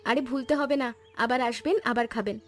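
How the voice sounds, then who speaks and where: নারী কণ্ঠে উঁচু গলার বিনয়ী গ্রাম্য গৃহবধূ. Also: female voice, a little high, brisk, soft background music, close to an everyday voice. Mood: kind. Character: modest village wife and cook, practical, kind. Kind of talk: Bengali cartoon story voice acting.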